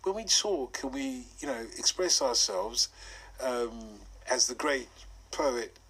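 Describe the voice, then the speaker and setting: A man's voice: mid-range voice, animated, takes it slowly, fairly bright tone, breathy edge, poor audio. A man in his fifties hosting a radio interview, talking in a musing, conversational way and asking leading questions. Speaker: radio host.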